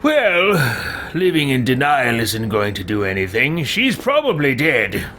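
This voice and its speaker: A man's voice: medium pitch, very animated, unhurried, pushed and bright, full character voice. A man voicing a callous, sardonic adventurer son with a dismissive manner in a fantasy game. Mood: dryly dismissive.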